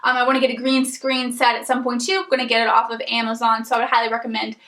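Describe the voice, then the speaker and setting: A young woman giving casual tips to camera as a beauty vlogger, chatty and rambling with lots of ums, her voice a little raspy from a coming cold. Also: a little high, monotone, fast talker, pushed and bright, roomy sound. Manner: chatty, rambling, many ums and you-knows.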